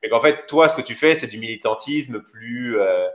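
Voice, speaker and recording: A man's voice: medium pitch, expressive, moderate pace, fairly bright tone. A man co-hosting a casual live discussion, feeling his way through points with hesitant, rambling phrasing. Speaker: co-host of a live discussion show. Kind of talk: casual live interview chat. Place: indoors, sitting beside co-host. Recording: roomy, echoing live-stream sound.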